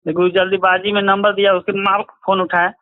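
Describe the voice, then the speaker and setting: बिहार का एक आदमी, बिहारी लहजे में, फ़ोन इंटरव्यू में घटनाएँ सुनाता हुआ, अटक-अटक कर बोलचाल के अंदाज़ में।. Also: male voice, high-pitched, monotone, fast, dry recording. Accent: Bihari. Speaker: interviewee, relative of a crime victim. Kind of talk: recounting events in a phone interview. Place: phone call. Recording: tinny, choppy phone line.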